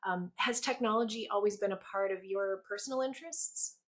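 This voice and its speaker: A woman's voice: mid-range voice, brisk. A woman hosting an interview show, upbeat and enthusiastic, opening with a scripted intro and then asking questions. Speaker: podcast host.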